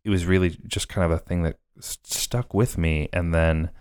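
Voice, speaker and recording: A man's voice: sits low, steady tone, normal speed, a little mellow, clear, resonant tone. A man in his thirties co-hosting a filmmaking podcast, chatting casually and rambling with lots of fillers. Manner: rambling, many fillers and restarts. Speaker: podcast co-host. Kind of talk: casual chat.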